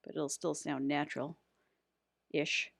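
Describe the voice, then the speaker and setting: A woman's voice: deep voice, flat delivery, slow pace, creaky, a bit bright, no room sound. A woman giving a casual, unscripted editing tutorial in a chatty, rambling way with self-deprecating humour.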